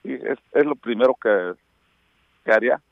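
A middle-aged man from Oaxaca whose first language is Mixtec, speaking Mexican-accented Spanish with a gravelly edge. He calls in over a thin, tinny phone line with a dry sound. He reminisces slowly and fills his pauses often. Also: medium pitch, no room sound. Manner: reminiscing, hesitant with many fillers. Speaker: phone-in guest. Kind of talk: radio interview by phone.

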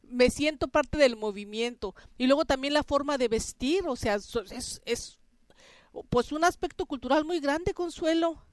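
A woman's voice: sits high, measured pace, no echo. A woman with a Mexican accent hosting a radio programme in a chatty, colloquial, conversational way. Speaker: radio host.

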